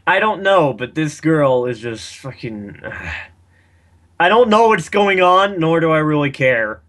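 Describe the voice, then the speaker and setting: Guy reading fanfic out loud, bright punchy voice, sweary and sarcastic, making fun of it. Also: fairly high pitch, animated, takes it slowly. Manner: profane, sarcastic. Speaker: fan fiction reader and commentator. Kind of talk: mocking read-aloud with riffing commentary.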